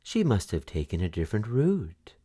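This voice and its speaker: Guy doing a sneaky old storybook wolf faking granny, low slow croon, lots of swoops. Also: huge pitch swings, mellow, husky, heavily acted. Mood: sly coaxing. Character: cunning old male wolf posing as grandmother.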